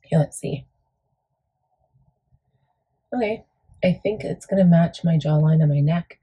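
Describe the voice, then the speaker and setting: A woman with a gravelly morning voice, chatting casually through a makeup tutorial with lots of fillers. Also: a little slow, warm tone, dull audio. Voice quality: gravelly, deep. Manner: chatty, rambling, many fillers. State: morning voice, a bit crackly. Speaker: beauty vlogger.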